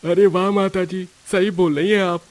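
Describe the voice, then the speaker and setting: A man puts on an enthusiastic street-food customer for a cartoon tale. He is jovial and impressed, his pitch raised high. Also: steady tone, normal speed, lightly acted. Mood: amused, impressed. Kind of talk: Hindi cartoon story dialogue.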